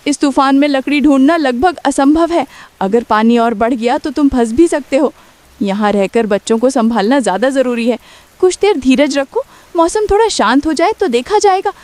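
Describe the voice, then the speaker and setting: A woman's voice: high-pitched, darkish tone, no echo. A woman playing a poor, caring and protective village mother in a cartoon story. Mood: worried.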